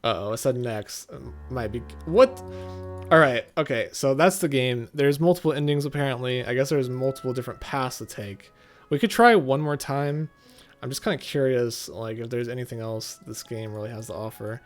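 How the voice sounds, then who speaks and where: A man's voice: medium pitch, normal speed. Offhand man commentating over game music. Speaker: gaming video host. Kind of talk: casual game playthrough commentary.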